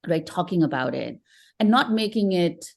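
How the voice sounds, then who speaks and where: Low-voiced Nepali-born woman guest. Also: expressive, normal speed, creaky. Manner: earnest, thoughtful, with frequent restarts. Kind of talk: conversational interview.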